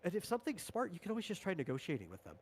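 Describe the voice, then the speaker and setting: A man's voice: sits high, wildly swooping pitch, rapid-fire, slightly rough. A man talking casually on a livestream as a tabletop game designer, explaining rules in a rambling, informal way.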